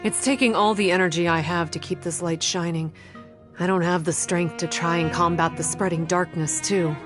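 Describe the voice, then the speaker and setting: A woman playing a solemn young shrine maiden in a video game, guarded and scholarly, explaining in measured, formal phrases. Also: fairly low pitch, steady tone, over music, natural voice. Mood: grave explaining. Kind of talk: video game character acting.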